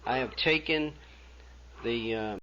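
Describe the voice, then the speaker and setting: A man's voice: mid-range voice, takes it slowly, sharp tone. A man giving an informational announcement as an archive presenter, on a muffled recording. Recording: muffled, hissy tape.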